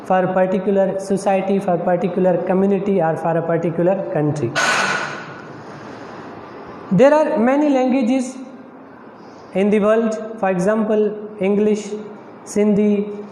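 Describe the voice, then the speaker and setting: A man with a Pakistani accent giving a recorded English lecture to students, explaining step by step with fillers and restarts. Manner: explanatory, many fillers and restarts, lively pitch on key terms. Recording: echoey room with faint noise.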